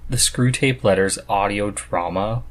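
A man in his thirties with a husky voice, chatting casually as the host of a podcast. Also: on the low side, a little slow. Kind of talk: casual chat about books.

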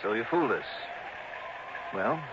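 A man's voice: on the low side, expressive, measured pace, a crowd in the background. A man playing a level-headed, wry investigator who acts and narrates in a radio detective drama. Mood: cool, steady. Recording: noisy, dull old radio broadcast.

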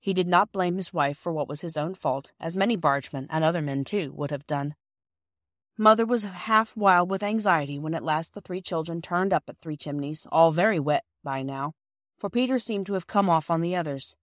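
A woman's voice: lowish voice, moderate pace, no echo, studio quality. A woman narrating a children's storybook from a script. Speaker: audiobook narrator.

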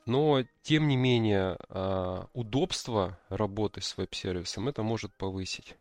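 A man's voice: on the low side, a little slow, a little mellow, dry recording, faint music behind. A man co-hosting a podcast, explaining things casually and hesitantly as he thinks aloud. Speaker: podcast co-host explaining backend development. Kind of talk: casual explanatory tech discussion.

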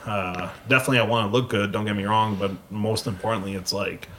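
Laid-back low-voiced man, early thirties. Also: steady tone, fast, fairly bright tone, a little hiss or hum. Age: early 30s. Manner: laid-back, swears casually. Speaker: podcast co-host. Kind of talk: casual podcast chat.

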